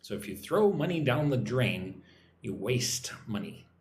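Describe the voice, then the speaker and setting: An American man runs a relaxed English lesson on a livestream, reading out viewer comments and chatting back by name. His voice rises and falls in an animated way. The room has a noticeable echo with a faint hiss underneath. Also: mid-range voice, unhurried. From United States. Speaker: English teacher. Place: home in Vietnam.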